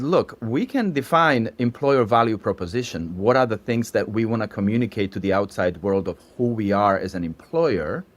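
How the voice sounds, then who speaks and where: A man in his fifties from Slovakia with a Slovak accent, a podcast guest who explains at length with asides. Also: medium pitch. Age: 50s. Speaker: guest, startup founder. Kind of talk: podcast interview.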